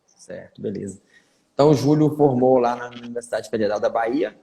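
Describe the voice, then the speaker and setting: This is a man hosting a casual live stream with a fellow doctor. His voice is mellow and unhurried, and he keeps dropping in small conversational tags. The sound carries a long, echoing reverb. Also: medium pitch, vocal fry. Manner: chatty, repeats fillers like "né". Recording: echoey room with long reverb.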